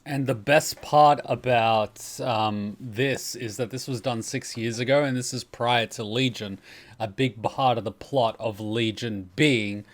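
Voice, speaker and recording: A man's voice: mid-range voice, fairly even intonation, measured pace. A man with a mid-range voice hosts casually. He comments off the cuff in a conversational style. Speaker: host.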